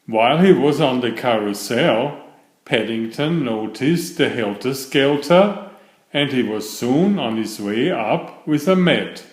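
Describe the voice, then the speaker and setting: A man's voice: medium pitch, lively intonation, slow pace. A man reading a children's storybook aloud as a narrator. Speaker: children's story reader and author. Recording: roomy, echoing sound.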